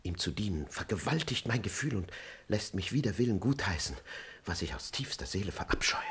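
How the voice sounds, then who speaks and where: A man acting a talkative, cowardly manservant in a 1950s German radio play, husky, confiding and theatrically emphatic. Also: low voice, sing-song, fairly quick, fairly bright tone, heavily acted. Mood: fearful, resentful.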